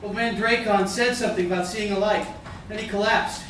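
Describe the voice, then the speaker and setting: A man's voice: high voice, fairly even intonation, a little fast, fairly bright tone, slight background noise. A man playing an urgent, dry police detective in a radio play. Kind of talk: live staged radio play acting. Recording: echoey hall, distant mic.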